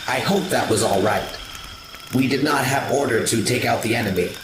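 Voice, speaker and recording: A man's voice: medium pitch, normal speed, lightly acted. An eager military robot scout played by a man in a science-fiction audio drama. The delivery is formal and dutiful, wrapped in echo and noisy ambience.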